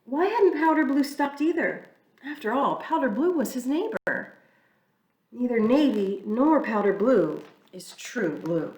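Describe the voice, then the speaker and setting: A woman reading a children's picture book aloud in an expressive, storytelling way. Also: fairly high pitch, measured pace. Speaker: church reader. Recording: echoey room, mic far off.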